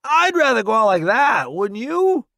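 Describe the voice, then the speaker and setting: A man voicing a brash, reckless young male soldier who shouts and jokes under fire. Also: extremely high pitch, sing-song, a little slow, creaky, no echo, clean studio sound, pitched above the performer's own voice, exaggerated voice. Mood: urgent shouting. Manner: loud, shouting over explosions.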